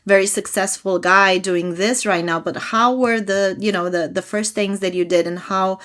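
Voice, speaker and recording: Host, woman, mid pitch, warmly encouraging interviewer. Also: steady tone, moderate pace. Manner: friendly, encouraging questions. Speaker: podcast host. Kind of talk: podcast interview.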